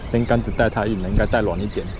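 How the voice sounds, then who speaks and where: A man's voice: fairly low pitch, very fast. Chatty Taiwanese man fishing by a river. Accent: Taiwanese Mandarin. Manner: chatty, muttering to himself, bursts of excitement at catches. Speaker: fishing vlogger. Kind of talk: casual self-narrated fishing vlog. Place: outdoors by a shallow river. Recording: outdoor noise on the mic.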